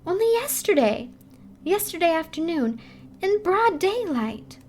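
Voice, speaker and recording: A woman's voice: extremely high pitch, very animated, a little slow, darkish tone, a little hiss or hum, voice pushed up, exaggerated voice. A woman voicing a frightened, superstitious young ballet girl, chattering insistently in a breathless, gossipy way for a storybook reading. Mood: eager excitement.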